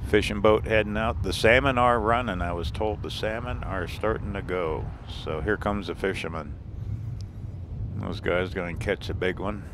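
A man's voice: low-pitched, moderate pace, background noise. A man vlogging a casual driving tour of a small coastal town, rambling and chuckling as he points things out from his vehicle. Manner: relaxed, rambling, chuckles often. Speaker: RV travel vlogger. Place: in a vehicle driving, and outdoors by the water.

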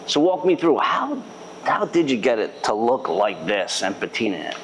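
A man's voice: on the low side, normal speed, poor audio. A man hosting a casual interview, asking questions conversationally and offering encouraging remarks. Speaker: interviewer, car dealership general manager. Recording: clear steady background noise.

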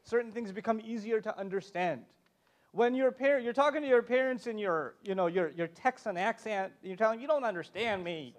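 Man, very high voice, panel speaker, animated, joking, emphatic, convention hall. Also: a little fast. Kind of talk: live talk to an audience.

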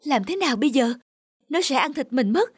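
A man voicing a small, nervous, quick-witted turtle in an animal fable cartoon. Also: very high voice, animated, slightly rough, dry recording, studio quality, heavily acted. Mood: panicked.

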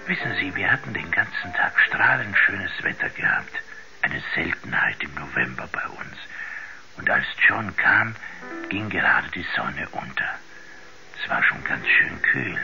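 Shaken, low-voiced male witness. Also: animated, unhurried, vocal fry, bright, forceful tone, full character voice. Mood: haunted. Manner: hesitant, searching for words. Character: shaken male witness recalling an uncanny last encounter. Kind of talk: 1970s German radio drama acting. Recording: muffled 1970s radio play recording with music.

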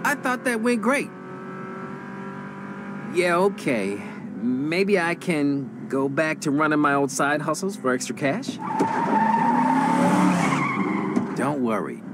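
A man's voice: a little high, lively intonation, unhurried, background noise. A man acting a cocky, wisecracking young crook in a video game, with a flippant, sarcastic delivery. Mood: casual, reassuring. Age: young adult.

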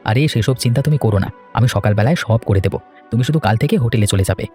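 A man's voice: on the low side, racing, a little mellow, faint music behind, natural voice. A man playing an earnest, reassuring village husband in a cartoon, talking casually. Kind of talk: cartoon voice acting.

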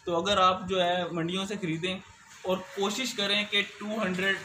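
A man's voice: high-pitched, level tone, normal speed, bright, forceful tone, reverberant, slight background noise. A young man explains things as a cattle farmer being interviewed, often repeating filler phrases, in an open cattle shed. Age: young adult.

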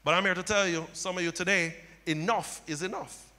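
A middle-aged male pastor preaching a sermon on a church stage into a handheld mic, building to loud impassioned cries. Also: high-pitched, a little fast. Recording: long hall reverb.

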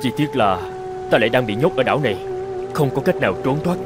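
A man's voice: mid-range voice, lively intonation, fast, over loud music, close to an everyday voice. A man voicing an earnest captive island chieftain in a cartoon fairy tale, protesting and pleading. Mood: wistful. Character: brave adult male island chieftain held captive.

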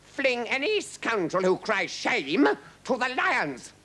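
A man's voice: high voice, sing-song, normal speed, bright, forceful tone, pitched above the performer's own voice, exaggerated voice. A man voicing a fierce, pompous, vengeful king in a storybook, with imperious exclamations and archaic wording. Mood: roaring, enraged. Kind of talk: storybook character acting.

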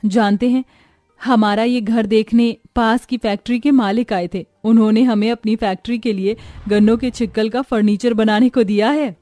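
A woman voicing a poor young village sister, earnest and expressive, in an animated moral story. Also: fairly high pitch, moderate pace, dry recording, soft background music, natural voice. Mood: happy.

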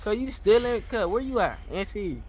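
A man's voice: sits high, big pitch swings, normal speed, a little mellow. A man role-playing in an online game, talking in agitated, repetitive street slang. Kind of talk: gaming role-play chatter in street slang. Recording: clearly noisy game voice chat with engine sounds.

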